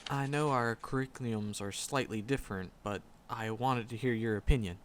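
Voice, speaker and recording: A man's voice: mid-range voice, moderate pace, background noise, close to an everyday voice. A man playing a shy, nervous magic professor in a roleplay audio drama, skittish and earnest, stammering and repeating words as he talks to the listener. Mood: shy, pleased.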